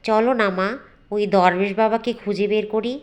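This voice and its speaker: A woman's voice: mid-range voice, steady tone, moderate pace, close to an everyday voice. A woman voicing an envious, pushy young village stepsister in a cartoon fairy tale, nagging and coaxing. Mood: greedy, eager.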